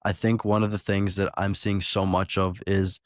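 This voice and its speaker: A man hosts a podcast and asks his guest questions in a relaxed, conversational manner, with light playful asides. His voice is fairly low. The recording is dry and close. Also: moderate pace, no echo. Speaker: podcast host. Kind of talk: interview questions, casual.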